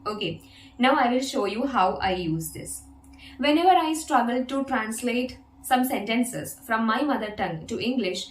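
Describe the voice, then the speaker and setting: Friendly woman teacher in an echoey room. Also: mid-range voice. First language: Malayalam. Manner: patient, demonstrating an app step by step. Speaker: English teacher. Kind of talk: video lesson.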